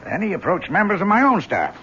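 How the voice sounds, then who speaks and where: An actor playing a concerned, genial book publisher in an old-time radio drama, conversational and slightly hesitant. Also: male voice, sits high, huge pitch swings, fast, fairly bright tone, full character voice. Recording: muffled, noisy old radio recording.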